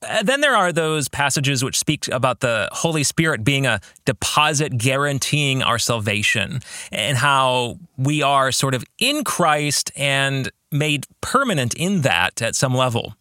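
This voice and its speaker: Bright-voiced, expressive man hosting a podcast. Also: mid-range voice, no room sound, studio quality. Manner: earnest, deliberate phrasing with rhetorical questions. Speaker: podcast host. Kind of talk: reflective religious commentary on a book.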